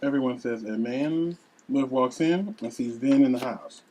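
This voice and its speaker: A man's voice: mid-range voice, normal speed, a little mellow, rough recording. A man narrating scene headings and stage directions at a script table read.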